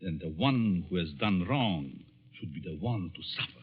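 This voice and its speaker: A middle-aged professor from a 1940s radio suspense play, coolly persuasive and quietly menacing. His lowish voice moves theatrically up and down as he probes, all heard through a dull vintage recording. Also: lively intonation, husky. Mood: insistent, persuasive. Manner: deliberate, probing, theatrical. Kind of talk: 1940s radio thriller acting.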